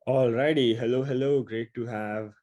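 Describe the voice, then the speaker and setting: An upbeat man with an Indian accent presents on a video call, quick and casual, with plenty of fillers. His voice sits at an ordinary middle pitch. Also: fairly even intonation, dark tone. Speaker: webinar presenter.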